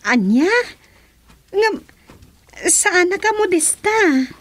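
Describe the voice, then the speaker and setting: Actress as a very high-pitched, wondering young woman. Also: very animated, drawn-out words, full character voice. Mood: curious. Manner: wondering, questioning. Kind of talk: radio drama acting.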